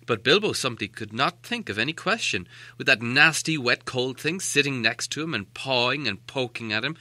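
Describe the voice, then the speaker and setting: A man's voice: mid-range voice, animated, moderate pace, pushed and bright, no room sound. A man reading a story as an audiobook narrator, in a dramatic storytelling style.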